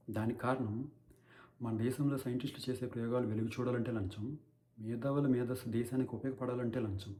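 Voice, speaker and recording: A man's voice: medium pitch, dark tone, close to an everyday voice. A man acting an anguished, righteous crusader in an impassioned, declamatory courtroom monologue against corruption. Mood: earnest, pointed. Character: righteous, anguished male crusader against bribery addressing a judge. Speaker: actor performing a film courtroom monologue. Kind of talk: dramatic theatrical courtroom monologue.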